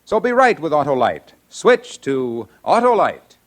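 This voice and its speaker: High, animated male radio pitchman. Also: measured pace. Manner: emphatic sales delivery. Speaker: radio announcer and sponsor pitchman. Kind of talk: 1940s radio commercial announcing. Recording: vintage radio recording with music behind.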